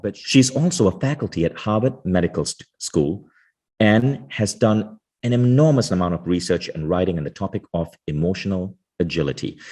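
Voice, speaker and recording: A man's voice: sits low, darkish tone. A man hosting a podcast, introducing his guest with enthusiastic, effusive praise. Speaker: podcast host. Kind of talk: podcast interview.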